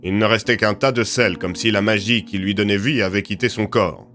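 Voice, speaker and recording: Man as a bright-edged, defensive agency commander. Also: lowish voice, fairly quick, soft background music, close to an everyday voice. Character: defensive male agency commander, bristling at rivals.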